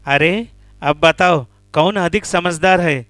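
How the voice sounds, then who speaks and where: A man voicing a clever, caring deer in an animal fable, speaking in a calm, advising manner. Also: on the high side, takes it slowly, no echo, clean studio sound. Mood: reproving.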